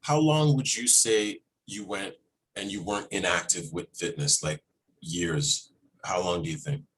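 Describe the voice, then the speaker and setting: A man with a husky voice hosting a podcast, chatting and telling stories in a casual, animated interview style. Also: low-pitched, a little slow, poor audio. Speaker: podcast host interviewing a guest.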